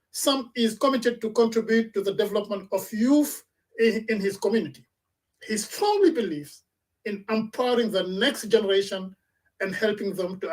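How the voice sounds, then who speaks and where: Woman hosting, heard from a distance. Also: on the high side. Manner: measured, with frequent restarts. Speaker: radio talk show host. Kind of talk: formal introductions and panel moderation. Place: in Toronto.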